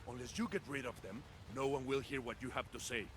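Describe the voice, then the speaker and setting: An actor playing a wary, practical Bolivian rebel contact in a video game. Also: male voice, medium pitch, fairly even intonation, fast, noisy background, natural voice. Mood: matter-of-fact. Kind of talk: video game cutscene acting.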